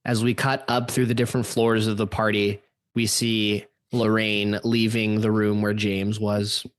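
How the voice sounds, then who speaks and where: A man's voice: fairly low pitch, flat delivery, a little slow, no echo. A man setting scenes as narrator of an improvised radio play, describing the action as it unfolds.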